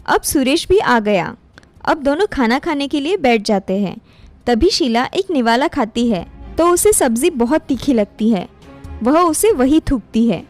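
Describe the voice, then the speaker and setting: A woman's voice: high-pitched, animated, unhurried, soft background music. A woman reading scripted story narration as the narrator of a moral tale.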